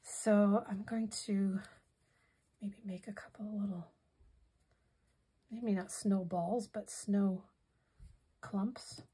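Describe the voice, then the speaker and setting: A hoarse woman demonstrating a craft project in casual tutorial style, softly thinking aloud and drifting into whispered asides. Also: mid-range voice, fairly even intonation, takes it slowly, long pauses. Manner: soft-spoken, musing, drifts into whispered asides.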